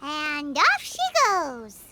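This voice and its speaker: A woman voicing a tiny, cheerful, childlike companion creature in a video game, with a squeaky voice. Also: wildly swooping pitch, drawn-out words, background noise, heavily acted. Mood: excited. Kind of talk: animated video game acting. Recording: loud game music behind.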